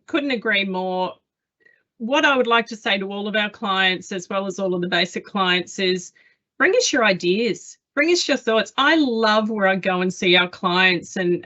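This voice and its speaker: A woman's voice: mid-range voice, fairly bright tone. Upbeat woman hosting a webinar. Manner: enthusiastic, chatty, with fillers. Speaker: webinar host. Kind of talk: corporate webinar moderation. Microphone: video call. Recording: dull lo-fi online call audio.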